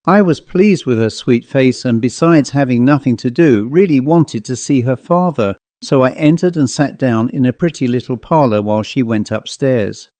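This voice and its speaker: A man narrating a literary ghost story as an audiobook, in a measured storytelling manner. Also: mid-range voice, darkish tone, no room sound.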